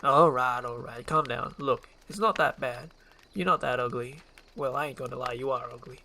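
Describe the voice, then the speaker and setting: A woman voicing an excitable, chatty male donkey sidekick who is blunt and easily spooked, from an animated film script. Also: rumbling low, moderate pace, a little hiss or hum, heavily acted. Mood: soothing, frank. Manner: blunt, talkative, exclamatory.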